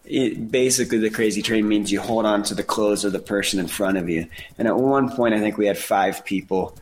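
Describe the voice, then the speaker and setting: A man's voice: lowish voice, fairly quick, a little hiss or hum. A man in his thirties with a mildly nasal voice, co-hosting a casual chat and telling stories about himself with a laugh. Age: 30s. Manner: earnest storytelling with self-deprecating laughs. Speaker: podcast co-host.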